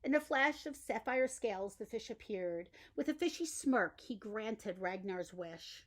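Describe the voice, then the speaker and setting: A woman reading a bedtime story aloud in an expressive storytelling style. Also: mid-range voice, unhurried. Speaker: storyteller reading a picture book.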